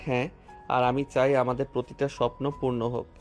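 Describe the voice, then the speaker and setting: A man voicing a devoted, earnest young lover with a tender, even delivery in a romantic audio drama. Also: medium pitch, quick pace, soft background music, natural voice. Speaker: voice actor.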